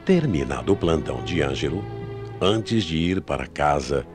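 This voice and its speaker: A man's voice: rumbling low, animated, normal speed. A man with a Brazilian accent narrating a scripted radio mystery drama in a dramatic storytelling manner. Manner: dramatic storytelling with rhetorical questions. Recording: radio narration over prominent music.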